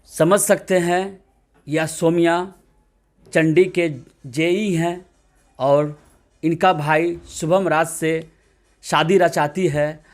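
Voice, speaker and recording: High-pitched male news reporter, emphatic. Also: steady tone, very slow, pauses often. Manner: emphatic, dramatic delivery with rhetorical questions. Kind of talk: field reporting, addressing viewers and interviewing. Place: at a family's home.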